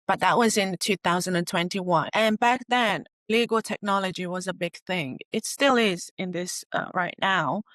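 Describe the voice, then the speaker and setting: A woman's voice: fairly low pitch, fairly bright tone, no echo. Hesitant young woman guest, dry sound. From Bulgaria. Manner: hesitant, many fillers, friendly. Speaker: guest, design studio founder. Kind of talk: podcast interview.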